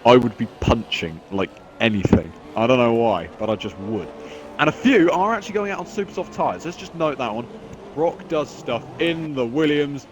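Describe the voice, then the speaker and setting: A man's voice: medium pitch, big pitch swings, normal speed, a little hiss or hum. A man commentating a sim race in casual chat, sounding nasal from a cold, rambling and poking fun at himself. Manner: rambling, self-mocking, chatty.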